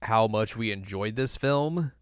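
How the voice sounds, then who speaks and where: A man's voice: lowish voice, a little slow, clear, resonant tone, dry recording. Man with a clean, dry-recorded voice, podcasting. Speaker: podcast co-host. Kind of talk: casual chat ranking video game movies.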